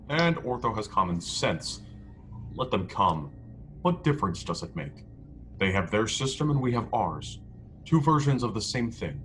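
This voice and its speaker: A man acts out a proud, stubborn starship crewman in a science-fiction radio play. He declaims defiantly in a fairly low voice. The sound is a video call with faint background noise. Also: a bit bright. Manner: declamatory, speaks of himself in third person. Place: indoor room at home. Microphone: headset on a video call.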